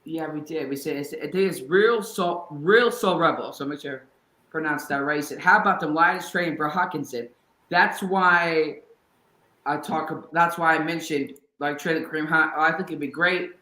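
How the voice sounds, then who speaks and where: A man co-hosting a sports talk show, ranting with fired-up energy and breaking into shouts. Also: fairly high pitch, moderate pace, echoey room, rough recording. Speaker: podcast co-host.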